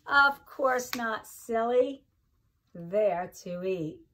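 A middle-aged woman with a fairly high voice reads a children's picture book. She takes it slowly and deliberately, with a sing-song lilt. Chatty asides to her young listeners break up the expressive reading. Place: kitchen-like room at home, indoors.

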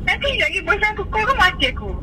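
High-pitched, defensive young Malaysian woman on phone. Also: lively intonation, fast talker, a crowd in the background. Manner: defensive, exclamatory. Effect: phone speaker. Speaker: prank call recipient. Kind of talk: casual phone chat. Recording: noisy phone call played in car.

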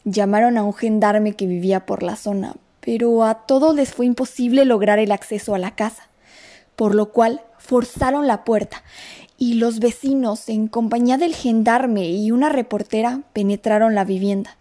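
A woman's voice: fairly high pitch, steady tone, normal speed, warm tone, close to an everyday voice. A woman voicing a nervous young café waitress who tells of a crime in a dramatic, breathless way. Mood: telling. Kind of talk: amateur radio drama acting.

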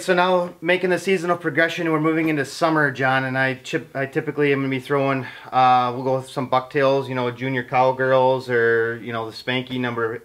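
A man's voice: medium pitch, fairly bright tone. Man, forties, channel host; casual, chatty interviewer, camera mic in a small indoor studio. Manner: casual, listing lures, asking questions. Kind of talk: interviewer in a fishing video. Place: indoor studio in front of a banner.

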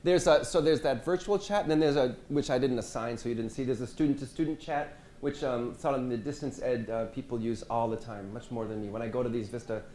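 A man leads a teaching workshop in a seminar room, speaking casually and letting his sentences fade softly at the ends. His voice is mellow and relaxed. The sound has echo and faint hiss from a room microphone. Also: medium pitch, fast, few pauses. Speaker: French language instructor. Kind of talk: workshop presentation and discussion. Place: medium-sized seminar room with audience.

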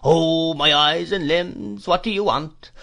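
A man voicing a fierce, drunken old shopkeeper from a storybook, in a monotonous sing-song whine with a rattle in the throat. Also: a little high, gravelly, voice pushed up, full character voice. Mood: fierce whining. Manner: monotonous sing-song that swells and falls, drawn-out exclamations. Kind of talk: storybook character voice.

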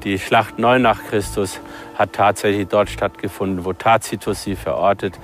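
A man's voice: on the low side, fairly even intonation, moderate pace, soft background music. A man, a professor in an interview, making his argument in formal academic language.

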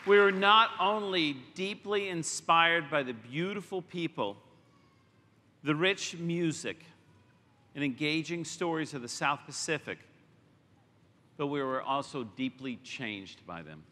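A man's voice: a little high, expressive, a little slow, sharp tone. A middle-aged man presenting and proudly announcing films on a large arena stage. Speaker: studio executive presenting on stage. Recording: arena sound with long reverb.

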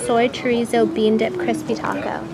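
A woman's voice: on the high side, flat delivery, moderate pace, gravelly, a loud crowd. Chatty young woman vlogging to camera. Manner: chatty, giggly, addresses viewers directly. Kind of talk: casual vlog chat. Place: car, then outdoors and at home. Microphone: handheld camera at arm's length.

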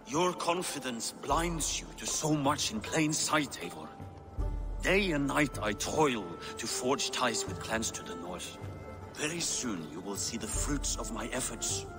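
A man acting as an aging Norse king in a fantasy game. He is stern, aggrieved and commanding, mid-pitched, with music clearly playing behind his formal speech. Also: expressive, measured pace, natural voice. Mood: stern, reproving. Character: stern, aggrieved older male Viking king. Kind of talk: dramatic video game cutscene acting.

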